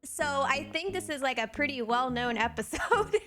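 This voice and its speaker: A woman streamer hosts a laid-back reaction chat, laughing a lot as she talks. Her voice sits high and bright in pitch. Soft music plays faintly underneath. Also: expressive, normal speed. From Southern United States. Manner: giggly, laughs often. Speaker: VTuber reaction host.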